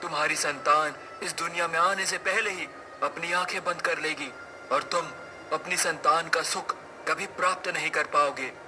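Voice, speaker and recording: A man's voice: a little high, steady tone, normal speed, noisy background, close to an everyday voice. A man playing a wrathful hermit sage in a cartoon tale, speaking in grave, declamatory, old-fashioned address. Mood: angry, condemning. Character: wrathful male hermit sage pronouncing a solemn curse. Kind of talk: dramatic cartoon story acting.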